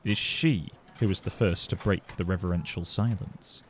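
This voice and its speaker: A man's voice: low voice, moderate pace, a little hiss or hum. A man giving scripted narration for a fantasy fiction podcast. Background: music.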